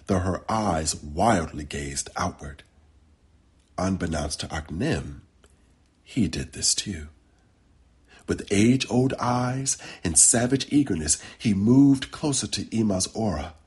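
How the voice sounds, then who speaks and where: A man narrating a literary short story as its author, with deliberate, dramatic phrasing. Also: low-pitched, husky. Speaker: author reading his own short story.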